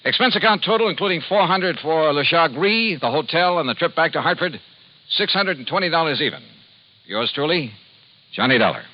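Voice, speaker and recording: An actor with an American accent playing a wry, self-assured hardboiled insurance investigator in a 1950s radio detective drama. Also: male voice, a little high, expressive, fairly quick. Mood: musing, wry. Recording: muffled, hissy old radio recording.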